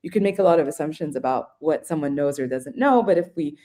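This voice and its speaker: Low, mellow woman explaining things. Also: lively intonation, fast talker. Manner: explanatory, checks in with "right?". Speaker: webinar guest. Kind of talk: explaining in a webinar.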